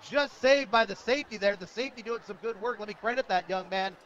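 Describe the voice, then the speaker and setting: A high-pitched American man works as a radio play-by-play announcer. He calls the football game live with emphatic excitement, over clear stadium noise behind his voice. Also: fast, dull audio. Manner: excited, emphatic calling of plays. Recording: outdoor stadium broadcast, heavy background noise.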